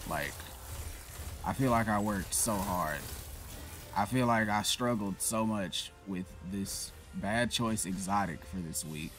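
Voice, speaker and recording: A man's voice: lowish voice, slow pace, fairly bright tone, soft background music. A man giving casual, rambling commentary while playing a shooter game, cursing and joking at himself. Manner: rambling, self-mocking, swears and vents at the game. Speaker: gaming channel host.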